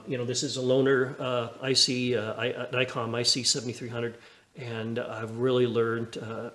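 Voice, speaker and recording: A man with a medium-pitched voice chats informally to viewers about his hobby. He speaks in a halting, down-to-earth way, with an encouraging tone, like an amateur vlogger sharing what he knows. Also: a bit bright. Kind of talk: casual vlog chat, sharing lessons learned.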